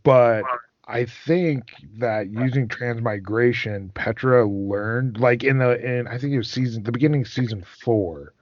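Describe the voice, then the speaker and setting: Man, podcast host, casual fan chat, halting phrasing, dry close sound, dull microphone. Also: medium pitch, a little mellow, no room sound.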